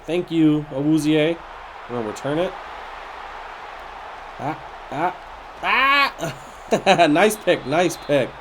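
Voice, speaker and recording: A man with a raspy, nasal, congested voice giving casual commentary on a video game as host. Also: on the high side, animated, takes it slowly, long pauses, background noise. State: congested after being sick.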